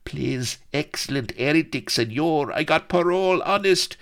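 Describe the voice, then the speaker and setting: A fawning Spanish prisoner, high and oily. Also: male voice, lively intonation, moderate pace, a bit bright.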